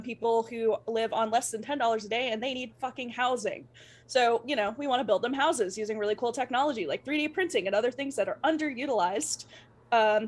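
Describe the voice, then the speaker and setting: A woman streamer speaking as a guest in casual chat. Her voice sits a bit high. She is gushing and playful, with a very informal way of talking.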